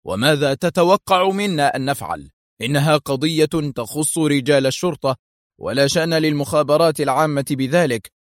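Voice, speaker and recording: A man's voice: medium pitch, expressive, brisk, darkish tone, no room sound, studio quality, close to an everyday voice. A man voicing a stern, exasperated male intelligence director who speaks with authority and warning in an audiobook thriller.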